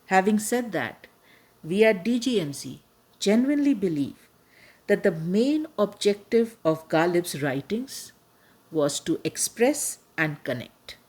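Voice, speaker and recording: A woman hosting a podcast, giving a measured, earnest scripted introduction with emphatic stresses. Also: medium pitch, big pitch swings, a little mellow, slightly rough. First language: not Urdu. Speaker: podcast host.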